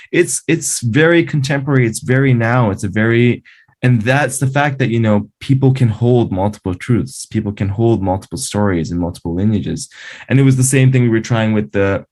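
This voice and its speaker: A man's voice: fairly low pitch, darkish tone. A man, a film composer, talks through his ideas in a casual interview, with frequent fillers like "you know" and "like". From Canada.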